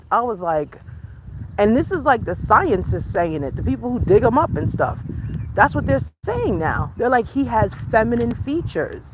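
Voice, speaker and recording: A woman's voice: medium pitch, fairly bright tone, slight background noise. Chatty American woman, casual livestream host, rambling talk to viewers, recorded outdoors on a walk. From United States. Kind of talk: casual livestream chat while walking a dog outdoors. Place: outdoors on a walk, rural or natural setting.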